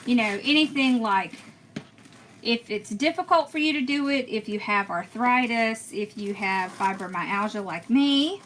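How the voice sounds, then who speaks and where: A chatty woman with a bright, somewhat high voice hosts a craft livestream from a room at home. She talks casually, answers viewer comments and laughs often as she works. A faint hiss of noise sits under her voice. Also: measured pace. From born in Pennsylvania, raised in Georgia. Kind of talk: casual live-stream chat while crafting.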